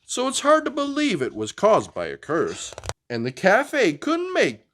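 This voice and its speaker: A man's voice: high-pitched, wildly swooping pitch, measured pace, fairly bright tone, voice pushed up, exaggerated voice. A man voicing an upbeat young dinosaur friend in a children's storybook, cheerfully reasoning and reassuring in rhyme.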